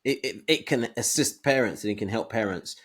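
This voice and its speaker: A man's voice: fairly low pitch, brisk. A man co-hosting a casual podcast discussion, thinking aloud hesitantly in broken phrases. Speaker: podcast co-host.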